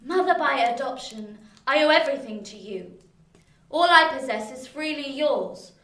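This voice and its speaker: Snooty composed young lady in a radio drama, bright voice, echoey and a bit far from mic. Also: sits high, animated. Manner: haughty, measured, formal. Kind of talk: theatrical radio play acting. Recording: echoing room, distant from mic.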